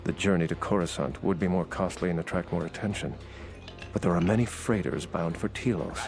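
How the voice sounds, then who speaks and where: A man voicing an earnest, guarded political insider in a video game, measured and formal. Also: low-pitched, over music. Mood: guarded, polite. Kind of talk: video game character dialogue.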